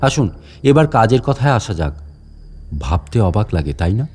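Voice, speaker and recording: A man's voice: lowish voice, sing-song, normal speed, a little mellow, slightly rough. A man playing a menacing old caretaker in a horror audiobook, a grieving, vengeful father who speaks with polite deference and sly questions. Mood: quietly menacing. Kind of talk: dramatic audiobook horror monologue.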